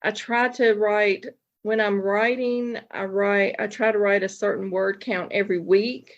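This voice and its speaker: Female podcast guest, medium pitch, loose rambling chat, dull lo-fi sound. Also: steady tone, a little slow. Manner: informal, rambling, self-interrupting. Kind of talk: casual chat.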